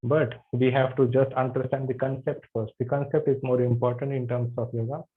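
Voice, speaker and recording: A man's voice: medium pitch, level tone, a little fast. A man with an Indian accent teaching an online yoga anatomy class, friendly and asking his students questions. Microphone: video call. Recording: tinny video call audio.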